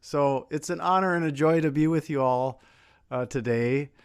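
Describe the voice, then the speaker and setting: A man's voice: a little high, normal speed, clear, resonant tone. An American man speaking informally and reflectively as a guest musician, with hesitant, grateful phrasing. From Minnesota, United States. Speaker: guest singer-songwriter. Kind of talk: informal, personal talk between songs.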